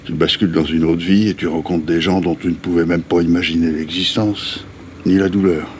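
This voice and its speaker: A male actor playing a worn, middle-aged homeless man haunted by lost love, colloquial and gruff, in a naturalistic radio drama. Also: low voice, fairly even intonation, a little fast, slight background noise, lightly acted. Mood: weary, brooding. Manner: colloquial, gruff, emotionally volatile.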